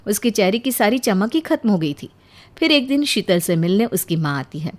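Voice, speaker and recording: A woman's voice: medium pitch, very animated, normal speed. A woman narrating a scripted story as its storyteller.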